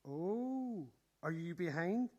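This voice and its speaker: Radio drama schoolboy, teens, played by a woman: low-pitched, very lively intonation, teasing and a bit hesitant. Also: slow, highs cut off. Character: teenage schoolboy Mike, easygoing, teasing, needy classmate. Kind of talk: radio play acting.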